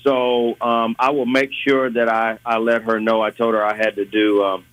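Relaxed man guesting on radio. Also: mid-range voice, dry recording, rough recording. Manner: relaxed, halting with ums. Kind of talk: casual radio interview.

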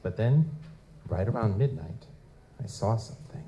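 An actor playing a world-weary, cynical private investigator in a live noir audio drama, wry and slangy in manner. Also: male voice, on the low side, lively intonation, moderate pace, lightly acted. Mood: brooding, wry. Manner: wry, slangy, hard-boiled. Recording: live convention performance, clear background noise.

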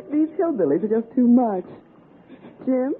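Scheming wife, swooping expressive voice. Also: female voice, fairly high pitch, takes it slowly, narrow, tinny audio, faint music behind. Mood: scornful. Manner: coaxing, theatrical. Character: scornful, cajoling city wife, coy and scheming. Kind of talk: old-time radio suspense acting. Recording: thin, noisy 1960s radio recording.

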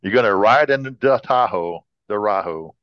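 A man's voice: on the low side, expressive, normal speed, no echo. A man co-hosting a podcast with casual, joking banter, riffing playfully as he guesses. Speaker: podcast co-host. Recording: slightly dull, lo-fi sound.

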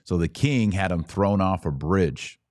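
A man with a low voice hosts a casual podcast. He tells stories and explains things at length. His voice sounds dry and close, with no room around it. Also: measured pace, a little mellow, no room sound. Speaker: podcast host.

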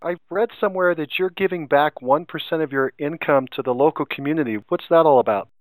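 A man hosting an interview podcast, asking direct, measured questions. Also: fairly high pitch, dry recording.

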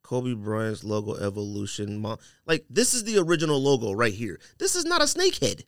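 Animated, argumentative man bantering on a podcast. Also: medium pitch, normal speed, no echo, clean studio sound. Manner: emphatic, argumentative, repeats himself for emphasis. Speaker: podcast co-host. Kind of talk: casual sneaker podcast banter and debate. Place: podcast studio.

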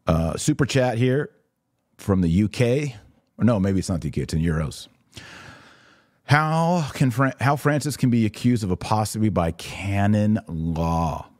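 Deep-voiced man, emphatic live commentary. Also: animated, a little slow, darkish tone, no echo, studio quality. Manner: emphatic, repeats short phrases for stress. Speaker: podcast host and religious commentator. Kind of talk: live commentary answering viewer questions.

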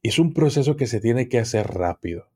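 A man's voice: medium pitch, animated, a little fast, darkish tone, husky. A man who is an occultism expert guest, explaining at length in an interview and often repeating words while he searches for them.

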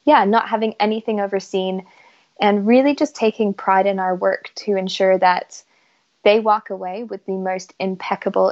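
A woman's voice: mid-range voice, darkish tone. Woman, thirties, mixed canadian-british accent, chatty, enthusiastic, dull lo-fi sound. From Isle of Man, raised in Canada. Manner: chatty, enthusiastic, many fillers. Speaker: yacht chief stewardess, guest. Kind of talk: casual podcast interview. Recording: slightly dull lo-fi audio.

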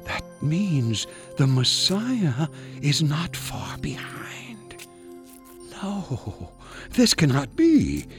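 An actor playing a devout, doubting old priest in a Bible audio drama, halting and emotional as he speaks. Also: on the high side, huge pitch swings, soft background music, exaggerated voice. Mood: agitated, doubting.

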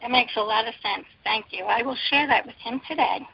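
A woman hosting an interview, talking in an earnest, personal and slightly halting way. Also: on the high side, vocal fry, husky, dry recording. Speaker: interview host. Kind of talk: casual interview chat. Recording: dry, dull lo-fi recording.

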